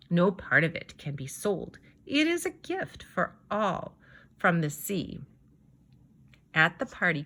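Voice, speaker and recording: A woman reading a children's story aloud, narrating expressively in deliberate, measured phrases. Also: on the low side, fairly bright tone. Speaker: storybook reader.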